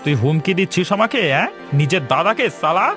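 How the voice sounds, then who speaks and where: A man's voice: high-pitched, animated, fairly quick, music in the background. A man voicing a greedy, bullying village doctor in a cartoon, scolding and scornful. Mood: angry, tense. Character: greedy crooked village clinic doctor, bullying elder brother.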